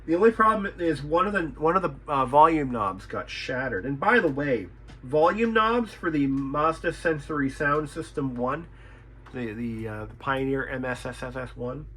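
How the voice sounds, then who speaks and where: A man's voice: mid-range voice, animated, normal speed, sharp tone, slightly rough. A man working as a repairman and hosting his own vlog, talking casually and thinking aloud as he works. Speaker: radio repairman and video host.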